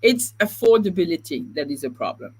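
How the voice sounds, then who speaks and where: A woman co-hosting a real estate podcast, chatty and quick to laugh, often trailing off mid-thought. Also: lowish voice, sing-song, a little mellow, breathy edge. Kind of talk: casual podcast chat.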